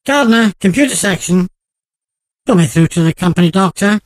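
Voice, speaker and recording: A hectoring, wiry office schemer, as a man plays him for a radio play. The voice sits high for a man and is emphatic and bossy, recorded dry. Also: expressive, moderate pace, no room sound, low-quality recording. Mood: brisk. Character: thin, energetic, scheming male office colleague, bossy and exasperated.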